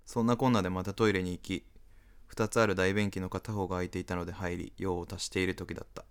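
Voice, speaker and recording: Man acting low-voiced, deadpan antisocial loner. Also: fast talker, smooth, natural voice. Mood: deadpan, weary. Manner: deadpan inner monologue. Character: apathetic, antisocial male smoker narrating his reluctant encounters. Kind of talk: radio drama acting and narration.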